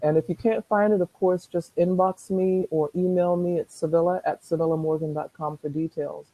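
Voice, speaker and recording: A middle-aged woman with a soft-spoken voice, talking earnestly as a guest on a video call, rambling with restarts. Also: on the low side, fairly even intonation, brisk, lo-fi sound. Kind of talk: casual chat.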